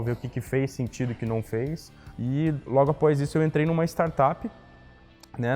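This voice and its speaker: A young man speaks at a middle pitch in a casual, reflective way, often tagging his sentences with "né". His voice trails with a long reverb, and faint music plays behind him. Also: mellow. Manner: casual, reflective, many fillers like "né". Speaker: guest, economics graduate. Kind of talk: interview answers.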